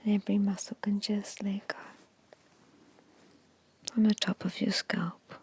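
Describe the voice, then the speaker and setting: A woman guiding an ASMR meditation in a hushed, soothing, breathy whisper with short, measured phrases. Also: mid-range voice, little pitch movement. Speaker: hypnosis and meditation guide.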